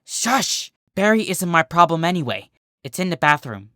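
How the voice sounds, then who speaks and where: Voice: medium pitch, sing-song, normal speed, fairly bright tone, no room sound, clean studio sound, voice pushed up. A performer voicing a nervous, exasperated young female cartoon unicorn who is easily flustered and scolding. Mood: indignant.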